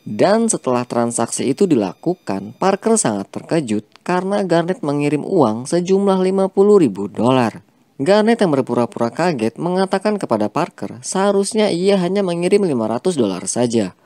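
A man reading a scripted film plot recap as a voice-over, with a steady storytelling delivery. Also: fairly high pitch, moderate pace, no room sound. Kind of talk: scripted narration.

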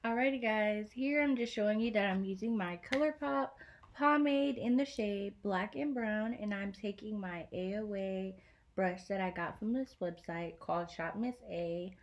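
A young woman talking casually through a makeup tutorial, relaxed and chatty with many fillers, in a small bedroom on a device mic. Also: medium pitch, moderate pace, highs cut off. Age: young adult. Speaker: beauty vlogger.

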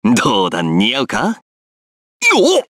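An actor playing a rough, brash but friendly young warrior lord in a video game, casual and confident. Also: male voice, medium pitch, wildly swooping pitch, fairly quick, a bit bright, no echo, studio quality. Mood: cocky, casual. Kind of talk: Japanese video game character voice clips.

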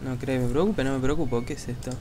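A man's voice: mid-range voice, fairly even intonation, fast, noisy background. A man with a Rioplatense accent commenting casually on a game he is playing, muttering and swearing as he works things out. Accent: Rioplatense Spanish. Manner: casual, swearing, thinking aloud. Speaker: gaming video host. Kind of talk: let's play commentary.

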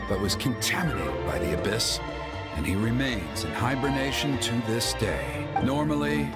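A stern middle-aged village elder in a fantasy game. He speaks formally and proudly, with animated swings of pitch, over loud music. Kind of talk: video game voice acting.

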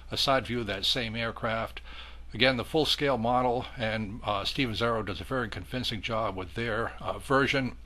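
Bright-voiced man narrating a hobby review. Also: on the low side. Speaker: model airplane hobbyist reviewer. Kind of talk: scripted product review narration.

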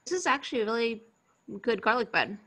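A cool, blunt young woman, read by an actress. Her voice is fairly high and bright, her delivery laid-back as in an amateur read-through of a film script. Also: very animated, a little fast. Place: remote video call.

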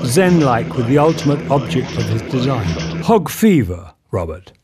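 An actor playing a male therapist in an audio drama, probing and oracular in a deliberate, portentous manner. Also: mid-range voice, very animated, over music, lightly acted.

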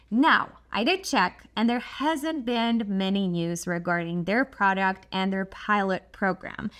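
A woman, hosting an energy channel and talking to camera in a chatty, expressive way, seated at a desk in a dim studio. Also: medium pitch. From Poland. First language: Polish. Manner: chatty, expressive, frequent asides and "like". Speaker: host of a solar energy channel. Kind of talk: explainer talk to camera. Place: dim studio with a shelf of glowing props.

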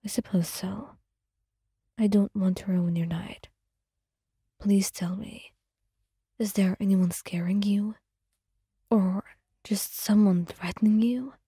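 A woman voicing a gentle, protective male dragon spirit, speaking in a soft, formal whisper. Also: medium pitch, steady tone, takes it slowly, pauses often, husky, no echo, studio quality, exaggerated voice. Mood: earnest.

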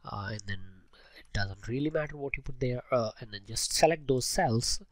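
Male screencast instructor, mid-pitched, audible head cold, informal and halting step-by-step delivery, dry sound. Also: no echo. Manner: explains step by step, with frequent hesitations. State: voice affected by a cold. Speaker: host of a spreadsheet tutorial channel. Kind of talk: informal screencast tutorial narration.

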